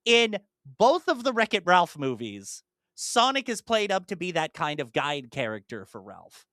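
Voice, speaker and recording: A podcast host chatting casually in an animated, rambling way, slipping into imagined dialogue. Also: mid-range voice, normal speed, no room sound, clean studio sound. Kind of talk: casual chat, enthusiastic monologue about films.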